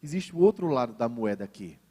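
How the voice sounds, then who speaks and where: A man with a Northeastern Brazilian accent preaching a sermon as a pastor, phrasing deliberately and emphatically with rhetorical repetition. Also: mid-range voice, sing-song, warm tone, breathy edge. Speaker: pastor preaching at a church service. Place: large indoor church, preaching to a congregation. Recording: reverberant church hall sound.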